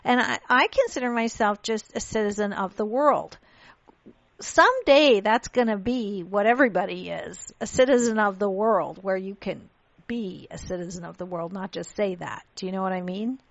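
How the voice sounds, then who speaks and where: A woman's voice: mid-range voice, normal speed, vocal fry, dry recording, muffled recording. A woman born in Pakistan hosting a radio talk show, speaking earnestly in casual chat and often restarting her sentences.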